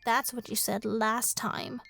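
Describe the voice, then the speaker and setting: A woman's voice: a little high, level tone, takes it slowly, creaky, fairly bright tone, full character voice. A woman playing a spoiled, petulant young girl in a horror radio drama. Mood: sulky. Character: spoiled, sulky young girl, vain and lonely.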